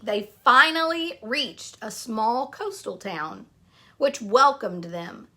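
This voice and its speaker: Female storyteller for young children: high, animated voice, picture book narration with chatty asides. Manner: expressive, addresses young listeners with questions. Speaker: storyteller reading aloud to children.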